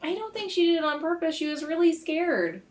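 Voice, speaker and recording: A woman's voice: very high voice, sing-song, brisk, a little mellow. A woman speaking casually as a player in a tabletop game, improvising her character's words and actions. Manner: informal, conversational.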